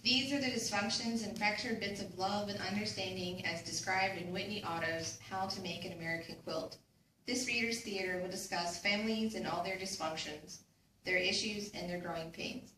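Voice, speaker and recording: A woman's voice: mid-range voice, moderate pace, pushed and bright. A young woman narrating scripted dramatic pieces as a student reader in a readers theater. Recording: echoey room, distant mic, faint noise.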